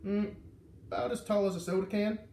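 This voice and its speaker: A man's voice: high voice, steady tone, a little fast, mellow, a little hiss or hum, pitched above the performer's own voice. Grumpy construction foreman, read by a man, echoey. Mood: argumentative. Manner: gruff, blunt, argumentative. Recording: echoey room, far from the mic.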